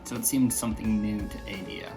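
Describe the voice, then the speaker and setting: A man with a slightly raspy voice chatting casually in a vlog, hesitant, with many fillers and restarts. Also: on the low side, steady tone, fast talker, over music. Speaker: vlogger.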